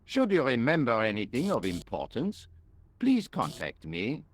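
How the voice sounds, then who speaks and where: Pompous French police detective with swooping intonation. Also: male voice, mid-range voice, wildly swooping pitch, a little hiss or hum. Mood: grave, self-assured. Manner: pompous, deliberate. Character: pompous, self-important French police detective, condescending to his assistant. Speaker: police inspector. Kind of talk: video game voice acting.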